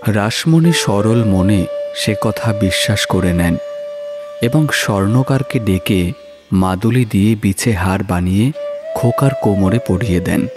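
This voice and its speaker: A man narrates a horror story in a low voice, very slowly and with measured drama. His delivery is suspenseful and scripted, with music clearly playing behind him.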